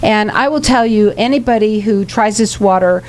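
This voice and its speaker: A woman's voice: mid-range voice, moderate pace, a little mellow, slight background noise, poor audio. A woman hosting a radio talk show, speaking upbeat and straight to her listeners. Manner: upbeat, direct address to listeners. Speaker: radio host. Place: radio studio.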